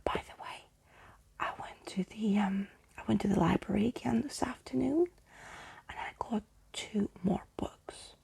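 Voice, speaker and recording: A woman speaking softly and intimately in an ASMR bedtime storytelling style, as if to one listener, with a breathy, husky, creaky voice. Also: medium pitch, unhurried. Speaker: ASMR storyteller reading a book aloud. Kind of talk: soft-spoken ASMR bedtime roleplay and reading.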